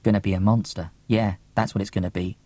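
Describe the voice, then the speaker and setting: A man's voice: lowish voice, level tone, fast talker, mellow, no echo, pitched below the performer's own voice, lightly acted. A man voicing a brooding, wounded young loner in an audiobook, muttering curtly and defensively. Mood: absorbed muttering. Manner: muttering, curt, rough.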